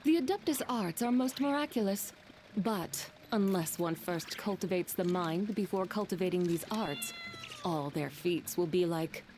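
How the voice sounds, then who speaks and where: A woman voicing a composed, learned mystic adept in a measured, formal and poetic manner. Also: on the low side, animated, a little mellow, a little hiss or hum. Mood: solemn.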